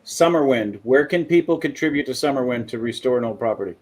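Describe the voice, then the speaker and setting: A man hosting a paranormal talk show, upbeat and joking, full of praise and shout-outs. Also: medium pitch, expressive, quick pace. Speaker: podcast host. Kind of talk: casual chat.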